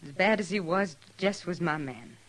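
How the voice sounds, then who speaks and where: A woman's voice: fairly low pitch, normal speed, a bit bright. An actress playing a world-weary, defiant frontier woman in a radio western, plaintive but hard-edged. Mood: bitter, defiant. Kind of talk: 1950s radio western acting.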